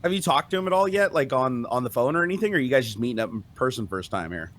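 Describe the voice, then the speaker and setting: A man's voice: mid-range voice, sing-song, very fast, fairly bright tone. A man cohosting a podcast, talking casually and animatedly, swearing freely. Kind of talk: casual chat.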